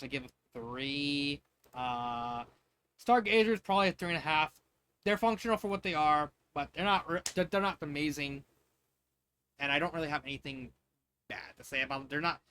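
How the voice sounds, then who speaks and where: A man's voice: a little high, pushed and bright, slight background noise. A man reading fan fiction and giving casual, rambling commentary, often repeating and restarting his phrases. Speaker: fan fiction reader and reviewer.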